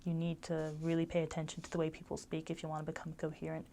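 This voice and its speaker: A woman's voice: low voice, monotone, fast talker, gravelly, dark tone, slight background noise. A young woman giving casual advice about living abroad, rambling with lots of ums and likes. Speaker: former resident giving advice.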